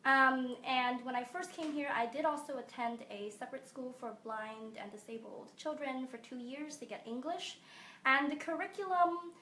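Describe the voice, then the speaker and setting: Guest: young woman from Hong Kong, higher voice, measured and explanatory, echoey room. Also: bright, forceful tone, slight background noise. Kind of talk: interview answers.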